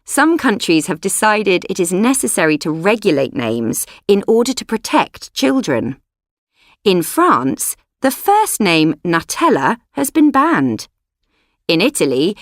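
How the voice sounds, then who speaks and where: Brief: female narrator for a course text, clear and measured, animated intonation, dry studio sound. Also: fairly low pitch, dry recording. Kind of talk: scripted narration.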